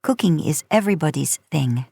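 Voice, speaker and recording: Storybook kitchen matron played by a female narrator: quiet, kind, firm, lower register, dry recording. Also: expressive, fairly quick, vocal fry, husky, no echo, full character voice. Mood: gently firm. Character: kind, firm Spanish-speaking older woman helping in kitchen.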